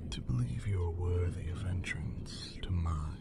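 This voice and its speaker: A man playing a haughty, menacing, teasing vampire lord in an ASMR roleplay, with a theatrical drawl that often sinks toward a breathy whisper. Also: deep voice, measured pace, heavy background noise. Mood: mocking disdain. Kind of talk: ASMR roleplay, scripted character acting.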